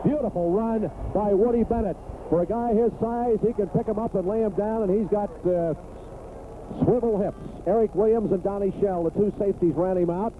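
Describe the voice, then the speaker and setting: A high-voiced American man delivers live football play-by-play in short, clipped phrases that build into excited calls. The sound is a tinny 1980s radio broadcast with clear noise behind him. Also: normal speed.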